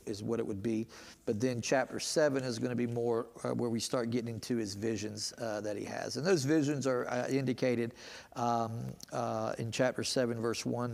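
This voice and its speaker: Raspy, tired preacher, gravelly. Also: male voice, medium pitch, a little mellow. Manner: halting, many "uh" fillers. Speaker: pastor. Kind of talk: casual Bible teaching sermon. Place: church sanctuary. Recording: reverberant church hall.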